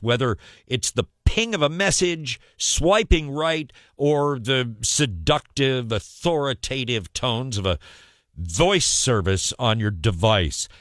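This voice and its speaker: Measured, animated male radio host. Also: medium pitch, no echo, clean studio sound. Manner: wry mock exasperation, emphatic pauses. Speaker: radio morning show host. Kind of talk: casual country radio DJ chat. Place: radio studio.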